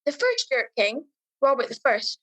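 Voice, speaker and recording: Woman doing a mock serious history presenter in a radio comedy, high pitched, earnest delivery. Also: big pitch swings, moderate pace, a bit bright, heavily acted. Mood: mock-grave. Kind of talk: scripted comedy history narration.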